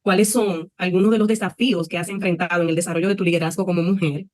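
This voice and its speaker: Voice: mid-range voice, fairly even intonation, quick pace, darkish tone, no room sound. A panel moderator asking formal, courteous questions.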